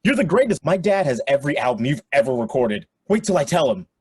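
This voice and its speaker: A man's voice: a little high, big pitch swings, fast, a little mellow, slightly rough, exaggerated voice. A man playing an eager, friendly teenage computer geek with casual slang in a radio play. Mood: eager, admiring.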